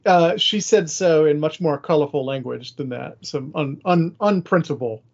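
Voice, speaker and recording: A man's voice: a little high, normal speed, very noisy. A man hosting a podcast in casual, conversational discussion, plain-spoken, recorded on slightly dull, lo-fi audio. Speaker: podcast host. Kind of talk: casual chat about a radio drama.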